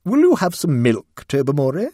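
A man's voice: a little high, wildly swooping pitch, moderate pace, mellow, dry recording, studio quality, voice pushed up. A man playing a strained, jittery hostess. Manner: strained, anxious. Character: nervous society hostess, woman.

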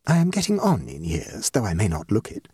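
Man putting on a gushing, high-pitched society matron. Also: wildly swooping pitch, normal speed, darkish tone, husky, no room sound, studio quality, heavily acted. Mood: grave, imploring. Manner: emphatic, effusive, self-dramatizing. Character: middle-aged society hostess, anxious matchmaking mother, gushing and dramatic. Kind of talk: comic short story reading.

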